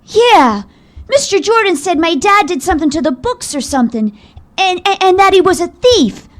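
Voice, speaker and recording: A woman acting a loyal, worried young boy in a live radio drama, halting and slangy with gee-whiz exclamations. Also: extremely high pitch, big pitch swings. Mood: hesitant, worried. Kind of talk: old-time radio drama acting before a live audience. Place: live stage performance in a hall.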